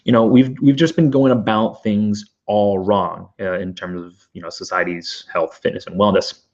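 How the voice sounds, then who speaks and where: Enthusiastic man with a hoarse recovering voice. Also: lowish voice, steady tone, normal speed, a little mellow, dull audio. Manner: enthusiastic, rambling with fillers and asides. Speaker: podcast host. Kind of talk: energetic solo podcast monologue.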